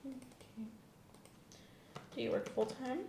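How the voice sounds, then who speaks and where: A woman's voice: a little high, fairly even intonation, moderate pace, gravelly, dark tone, reverberant, a little hiss or hum. A woman doing an ASMR medical exam roleplay as an examiner, methodical and professional in the way she questions a patient.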